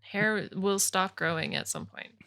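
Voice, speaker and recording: Woman, thirties, bright and forceful voice, blunt, teasing, swears freely, casual podcast chat, podcast mic. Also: medium pitch, unhurried, vocal fry. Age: 30s. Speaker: podcast co-host. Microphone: podcast microphone.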